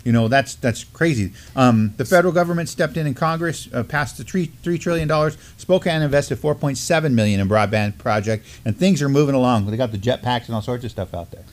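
A middle-aged man co-hosting a community news show, talking casually and haltingly as he thinks aloud. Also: medium pitch. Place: indoor studio desk, backdrop.

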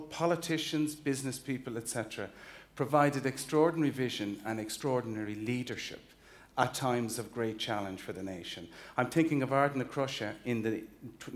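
Irish man, fifties, earnest keynote speaker, emphatic, restarts phrases, convention hall stage. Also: mid-range voice. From Ireland. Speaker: chief executive giving a keynote. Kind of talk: conference keynote speech. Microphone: stage mic, walking the stage with a slide clicker.

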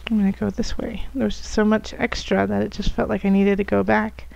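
A woman with a hoarse, raspy voice from bronchitis, gently giving a tarot reading straight to her viewers. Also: medium pitch, little pitch movement, brisk, muffled recording. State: hoarse from bronchitis, voice coming and going. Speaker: tarot reader and channel host. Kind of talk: soft spoken tarot card reading to viewers.

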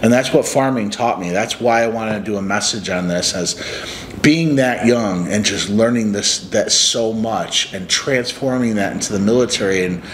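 A man's voice: fairly low pitch, normal speed, a little hiss or hum, low-quality recording. A man in his forties from upstate New York, talking earnestly to the camera as a life coach in a small room. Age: 40s. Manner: earnest, reflective, motivational. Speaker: life coach and channel host. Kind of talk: casual talk to camera. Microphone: camera close up, sitting on a couch.

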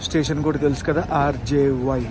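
A man's voice: medium pitch, fairly even intonation, brisk. A man vlogging a train journey at night, chatting to viewers as stations pass. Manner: chatty, addresses viewers directly. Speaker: travel vlogger. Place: inside a moving train at night. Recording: noisy train carriage recording. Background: train rattle and station noise.

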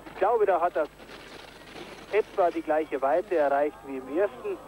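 A man giving live commentary on a ski jumping event, getting excited on big jumps, from an old broadcast tape. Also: a little high, unhurried, highs cut off. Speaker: sports commentator.

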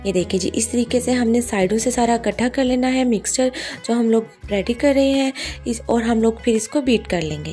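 A woman hosting a home cooking video, guiding each step in a steady voice-over and often repeating "ye dekhiye ji". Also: on the high side. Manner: warm, reassuring, repeats "ye dekhiye ji" and "ji janab". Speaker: cooking channel host.

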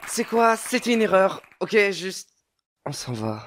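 A man playing a blunt, brash, excitable imp troublemaker in a musical cartoon dub. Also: high-pitched, a little slow. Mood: awkward. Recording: loud music and crowd noise.

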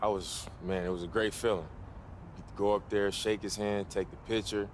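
Guy acting deep voiced american pro hooper, easygoing mentor in a game, little street noise. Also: flat delivery, normal speed, lightly acted. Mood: encouraging. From Oakland, California. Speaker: professional basketball player. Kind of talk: casual video game cutscene dialogue.

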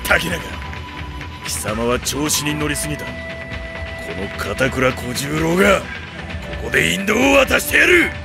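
A man playing a fierce, loyal samurai retainer in an audio drama, gruff, stern and defiant. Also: on the high side, sing-song, measured pace, pauses often, over music, exaggerated voice. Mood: defiant menace. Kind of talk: Japanese anime-style audio drama acting.

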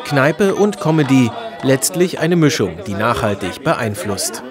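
A male narrator delivers a scripted promotional TV report. His voice sits at a medium pitch, with a clear layer of crowd sound behind it. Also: big pitch swings, measured pace.

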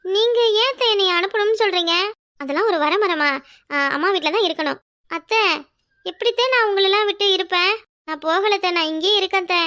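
A woman's voice: very high voice, fairly quick, pauses often, bright, forceful tone. A woman playing an emotional, stubborn young wife in a domestic drama, pleading and pouting in a plaintive, insistent way. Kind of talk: domestic serial drama acting.

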